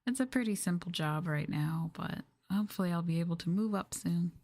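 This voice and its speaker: American female streamer, soft ASMR delivery, slightly low voice, gentle chatting, noticeable background noise behind her. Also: a little fast. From United States. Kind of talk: soft-spoken ASMR casual chat.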